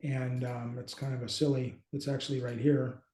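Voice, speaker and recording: A man in his thirties chatting informally as a co-host, thinking aloud in a halting way on a video call. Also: mid-range voice, flat delivery, mellow. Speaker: co-host, app company team member. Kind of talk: casual, informal demonstration chat. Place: small home office indoors. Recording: echoey room on a video call.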